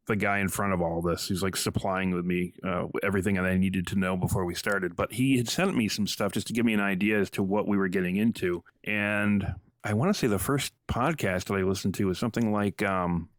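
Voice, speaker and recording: A man's voice: fairly low pitch, no room sound. A man speaking casually as an interview guest, informal, rambling and self-effacing. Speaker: podcast guest, audio drama writer.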